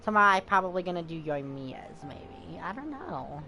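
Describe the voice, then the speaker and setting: A woman's voice: fairly low pitch, very animated, normal speed, a loud crowd. A woman streamer giving casual gameplay commentary in a chatty way, talking back to the characters. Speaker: game streamer. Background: game music.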